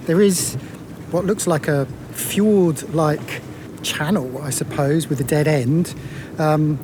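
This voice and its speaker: A man hosting a sailing vlog, explaining things casually in a laid-back, wry, matter-of-fact way. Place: outdoors on a sailboat. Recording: noisy outdoor boat recording, engine rumble.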